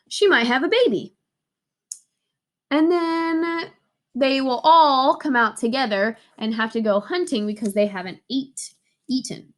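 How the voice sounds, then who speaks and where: A woman teacher reading a picture book aloud to young children and explaining it in simple, emphatic, playful phrases. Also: on the high side.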